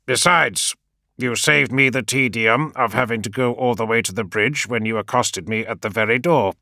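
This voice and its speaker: A dryly courteous adviser who weighs every word and keeps a mock-sober tone, played by a male narrator. The sound is bright, close and dry, like an audiobook booth. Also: medium pitch, creaky, dry recording, pitched above the performer's own voice. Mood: wry. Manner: measured, mockingly sober, deliberate.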